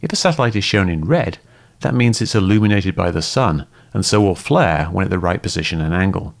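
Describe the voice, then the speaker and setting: Deep calm man explaining step by step. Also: brisk, vocal fry. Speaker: host and debunker. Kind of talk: scripted explanatory narration.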